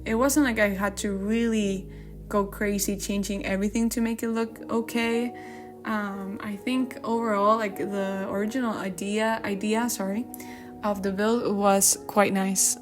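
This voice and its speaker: A woman's voice: medium pitch, little pitch movement, measured pace. A woman whose first language is Spanish, giving casual, chatty gaming commentary in an informal way, sometimes searching for her English words. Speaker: gaming video creator. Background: background music.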